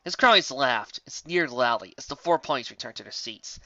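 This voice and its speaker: A woman's voice: rumbling low, expressive, fairly quick, bright, forceful tone, no room sound. A woman narrating scripted fantasy fiction as a story reader, on a slightly dull recording.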